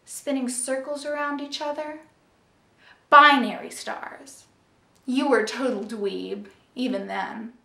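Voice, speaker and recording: A woman's voice: sits high, slow pace, gravelly, pushed and bright, far from the mic, full character voice. A young woman playing a wounded, jealous teenage sister in an intense, confiding stage monologue. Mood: tender. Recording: echoey room.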